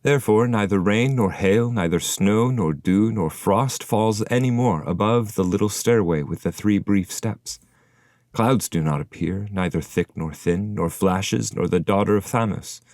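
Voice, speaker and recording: A man's voice: on the low side, a little mellow, dry recording. A solemn male shade of an ancient poet speaks in courteous, formal verse, voiced by a male reader. His delivery is declamatory and archaic, recorded dry for an epic poetry audiobook.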